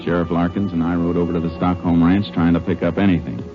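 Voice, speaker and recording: Muffled vintage radio recording with music. A low-voiced American lawman acted by a man, steady and methodical, talks in deliberate, plain words in a 1950s western radio drama. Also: natural voice. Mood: measured narrating. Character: steady, methodical male Texas Ranger investigator in a western. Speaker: lead actor in a radio drama. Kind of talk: 1950s radio drama acting with first-person narration.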